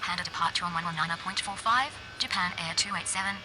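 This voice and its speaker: A woman's voice: lowish voice, quick pace, over music. A woman speaking as a pilot in clipped air traffic phraseology over an aviation radio.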